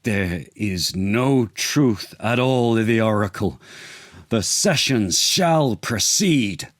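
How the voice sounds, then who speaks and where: Man acting a commanding, slow, jealous king. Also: lowish voice, lively intonation, no echo, clean studio sound, pitched above the performer's own voice. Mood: defiant. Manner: theatrical, commanding, drawn-out words. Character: furious, jealous king, tyrannical and scornful.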